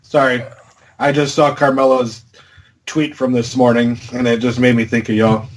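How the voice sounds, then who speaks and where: A man co-hosting a podcast, giving his views in an opinionated, measured way with some joking. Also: mid-range voice, little pitch movement, low-quality recording. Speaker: podcast co-host. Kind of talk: casual chat.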